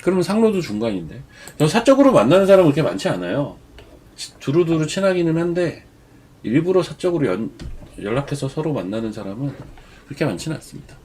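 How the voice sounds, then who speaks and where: A man's voice: on the high side, big pitch swings, fast, darkish tone, slight background noise. A man with a cold giving chatty, rambling stock market commentary as the show's regular commentator. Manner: chatty, digresses into personal stories. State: has a cold. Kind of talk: casual market commentary on a live stream.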